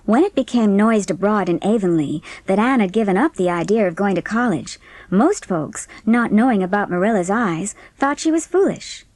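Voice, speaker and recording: A woman's voice: medium pitch, expressive, normal speed, darkish tone, dry recording. A woman reading scripted narration for a dramatised audiobook. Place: dry studio.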